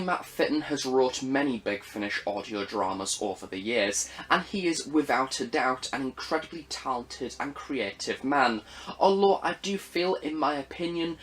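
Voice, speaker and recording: A man's voice: medium pitch, poor audio. Man narrating a formal scripted review. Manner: measured, formal phrasing with frequent emphasis. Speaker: audio drama reviewer.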